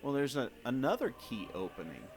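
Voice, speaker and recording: An actor playing a bold, excitable adventure fan, protective of friends, with dramatic delivery in an audio drama. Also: male voice, mid-range voice, fairly quick, faint music behind. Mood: keen.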